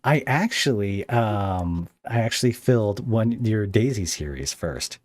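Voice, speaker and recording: A man hosting a podcast interview in a casual, somewhat halting way, feeling out his phrasing as he goes. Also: on the low side, lively intonation.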